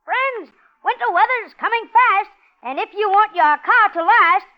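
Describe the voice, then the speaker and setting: Perky spark plug cartoon character, piping ultra-high voice, sing-song delivery, dull vintage radio commercial sound. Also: expressive, a little slow. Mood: chirpy, urging. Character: perky little talking spark plug mascot. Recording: muffled old radio recording.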